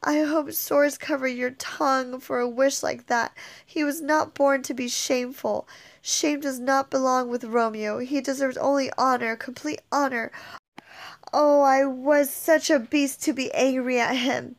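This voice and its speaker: Brief: woman reading a young Juliet, high-voiced and anguished, declaiming her grief. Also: steady tone, unhurried. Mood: grieving. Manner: emotional, declamatory. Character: young woman Juliet, grieving, torn, passionate. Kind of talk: dramatic reading of a modernized play.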